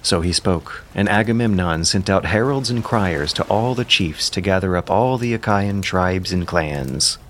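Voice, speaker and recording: A man's voice: low voice, a little slow, few pauses, slight background noise. A man narrating an epic audio drama in a solemn, declamatory, oratorical manner. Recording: loud music under the narration. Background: loud background music.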